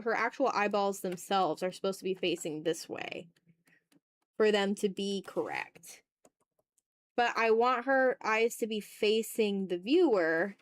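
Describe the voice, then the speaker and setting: A woman's voice: mid-range voice, no room sound. Playful, slangy woman streamer, dry close sound. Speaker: art livestream host. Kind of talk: casual livestream chat while drawing.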